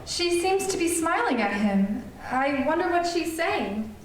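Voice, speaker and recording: A woman's voice: extremely high pitch, very animated, unhurried, exaggerated voice. A woman playing an anxious, devoted young heroine in old-time radio serial style, earnest in manner. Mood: jealous. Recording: distant, very echoey hall with music.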